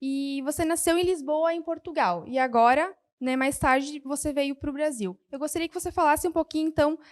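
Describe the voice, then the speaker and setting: A woman hosts an interview in a studio, with a high, light voice. She welcomes her guest and asks friendly, clear questions. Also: brisk. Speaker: interview host.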